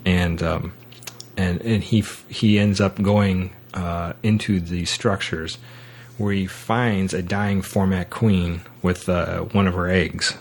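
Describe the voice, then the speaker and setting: A man hosting a podcast, casually discussing film and books in a halting way, often repeating words as he goes. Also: low-pitched, fairly even intonation. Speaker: podcast host.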